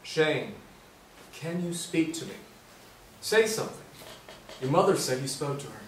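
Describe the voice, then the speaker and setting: A man in a staged play reading, playing a brisk hospital doctor who questions insistently. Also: fairly high pitch, expressive, fairly bright tone. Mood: urgent, probing. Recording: roomy stage, distant mic, faint noise.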